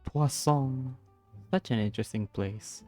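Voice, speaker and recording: A man's voice: mid-range voice, measured pace, a little mellow. A man giving casual reaction commentary over a video game, muttering short remarks as he plays. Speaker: gaming video maker. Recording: game music behind the voice.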